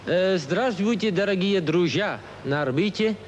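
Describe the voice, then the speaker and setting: A man's voice: high-pitched, lively intonation, a little slow, slight background noise. Hesitant man with Dutch accent. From Netherlands. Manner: hesitant, stumbling in Russian. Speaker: studio guest asking questions. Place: TV studio.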